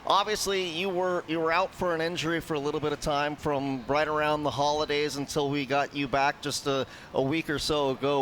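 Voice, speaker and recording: Upbeat chatty radio host, raised pitch. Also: male voice, fairly bright tone, a little hiss or hum. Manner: upbeat, chatty with frequent restarts. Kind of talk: live radio interview. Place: restaurant. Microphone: headset.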